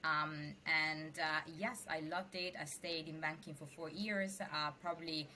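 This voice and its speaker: A woman's voice: sits low, steady tone, a little slow, sharp tone, a little hiss or hum. A young adult woman from Sicily with an Italian accent, chatting animatedly as a guest in an interview. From Sicily, Italy. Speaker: venture capital investor, guest. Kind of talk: casual interview talk.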